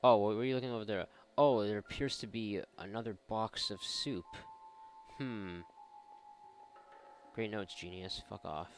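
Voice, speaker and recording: A man's voice: lowish voice, unhurried, a little hiss or hum. A man giving casual, sarcastic, often profane commentary while playing a video game. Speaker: gaming video host. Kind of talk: casual let's play commentary.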